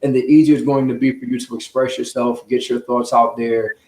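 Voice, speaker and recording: A man's voice: mid-range voice, little pitch movement, fairly quick, darkish tone. A man speaking as a guest author, earnest and encouraging in casual, motivational interview talk. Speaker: children's book author, guest. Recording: roomy, echoing sound.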